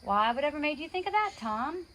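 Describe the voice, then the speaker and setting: Woman acting a bemused, high-pitched storybook mum. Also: big pitch swings, brisk, fairly bright tone. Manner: questioning, emphatic. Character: bemused mother of two children in a storybook.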